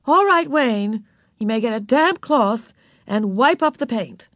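A woman voicing a firm, encouraging female art teacher instructing a class in a measured way in a storybook. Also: fairly high pitch, expressive, fairly bright tone, dry recording, clean studio sound, voice pushed up.

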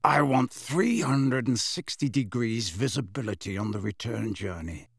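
A man's voice: medium pitch, moderate pace, husky, no room sound, studio quality. A man voicing a paranoid, irritable veteran wizard in an audiobook, curt and commanding, with a growling voice. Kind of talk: audiobook character voice.

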